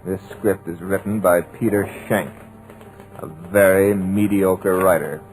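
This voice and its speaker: An actor playing a wry, trapped screenwriter in a 1950s radio drama, with a husky, hard-boiled, wisecracking delivery in an old radio recording. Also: male voice, low voice, fairly even intonation, slow, a little mellow, soft background music, rough recording. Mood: brooding. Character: wry, trapped male screenwriter, tough-talking.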